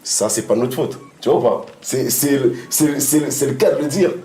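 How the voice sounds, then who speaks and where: A young man making an animated, argumentative point in a street interview. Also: medium pitch, normal speed, reverberant, a little hiss or hum.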